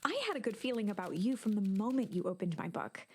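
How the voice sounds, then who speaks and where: A woman playing a mysterious, kindly storybook narrator in a game, speaking in a measured, theatrical way. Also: medium pitch, lively intonation, a little hiss or hum, lightly acted. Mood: pleased, warm. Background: guitar music.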